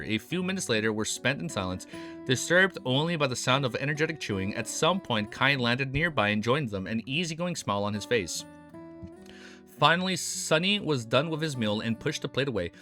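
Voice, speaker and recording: A young man streaming a reaction, reading a novel aloud with excited, swearing outbursts, his voice a bit hoarse. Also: medium pitch, fairly quick, soft background music.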